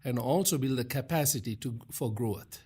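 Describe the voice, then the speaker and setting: A middle-aged man from Sri Lanka, a guest on a podcast, answering thoughtfully in short phrases with pauses. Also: mid-range voice, dark tone, a little hiss or hum. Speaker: guest, leadership coach. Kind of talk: earnest interview answers.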